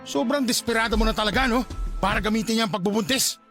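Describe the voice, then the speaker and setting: A man's voice: high-pitched, fast talker, fairly bright tone, faint music behind. An actor playing an irritable, evasive Filipino man who talks dismissively to his lover and scolds, in a radio drama. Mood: angry, scornful.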